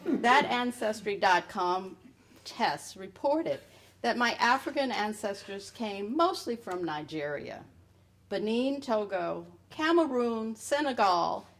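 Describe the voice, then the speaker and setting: A middle-aged woman reading her personal essay aloud in a wry storytelling manner with pointed pauses. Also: on the high side, expressive, fairly bright tone. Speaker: writer reading own piece.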